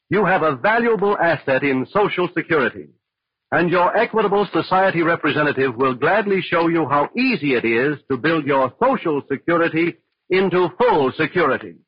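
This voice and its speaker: A man's voice: a little high, husky, dry recording. A man with an American accent reading scripted 1940s radio announcements in a polished, formal, persuasive way. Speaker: radio announcer and sponsor pitchman. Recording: dull, lo-fi old radio broadcast, music.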